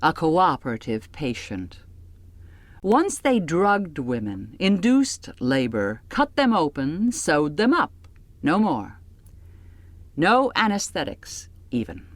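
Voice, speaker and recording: Low-voiced, unhurried woman narrating an audiobook. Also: very animated, dry recording. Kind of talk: literary first-person scripted narration.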